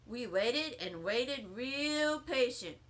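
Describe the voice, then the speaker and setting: A woman narrates a children's storybook with expressive, childlike phrasing. Her voice is high and bright, and she reads at an easy, slowish pace with lots of melody. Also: rough recording. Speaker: teacher reading aloud.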